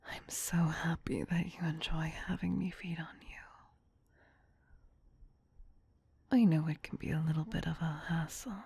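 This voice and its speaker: A woman voicing a doting, possessive vampire queen in a soothing, breathy whisper for an ASMR roleplay. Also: deep voice, darkish tone, no room sound, exaggerated voice. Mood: gentle, reassuring. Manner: soothing, slow, affectionate pet-name coaxing.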